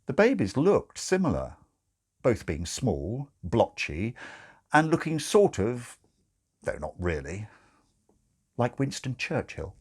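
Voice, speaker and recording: A man's voice: mid-range voice, huge pitch swings, takes it slowly, long pauses, a little mellow, breathy edge. A man narrating a comic audiobook in a wry, theatrical storytelling manner.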